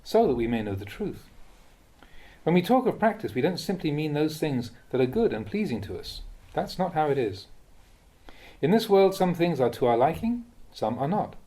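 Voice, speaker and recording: A man reading a Buddhist teaching aloud in a measured, contemplative manner, posing questions to the listener as he goes. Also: fairly high pitch, sing-song, warm tone. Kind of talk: read-aloud dharma talk.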